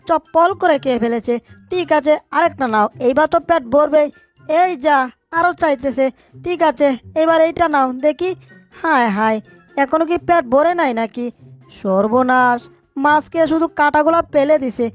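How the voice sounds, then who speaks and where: A high cartoon voice for a small, clever male mouse performed by a woman. He frets aloud and exclaims anxiously as he schemes, with faint music underneath. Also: close to an everyday voice. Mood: exasperated. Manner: exclamatory, fretting to himself. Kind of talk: Bengali cartoon dub acting.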